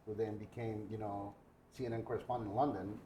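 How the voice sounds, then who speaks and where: A man's voice: fairly low pitch, flat delivery, fast talker, mellow, reverberant, a little hiss or hum. A man from Guatemala hosting a podcast, chatting casually and telling anecdotes. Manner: conversational, tells anecdotes. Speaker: podcast host. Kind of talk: casual interview chat.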